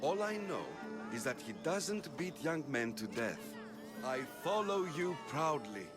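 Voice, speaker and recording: An actor playing a loyal, earnest follower in an ancient Greek video game. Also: male voice, on the high side, big pitch swings, unhurried. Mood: earnest devotion. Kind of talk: video game cutscene acting. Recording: music playing behind the voice.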